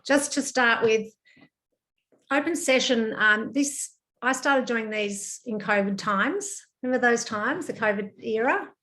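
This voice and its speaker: A woman with a croaky voice from a sinus problem, leading an online counselling group session in a gentle, reassuring way with many ums and restarts. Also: fairly high pitch, measured pace. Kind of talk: live video-call talk to a group. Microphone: computer mic on a video call.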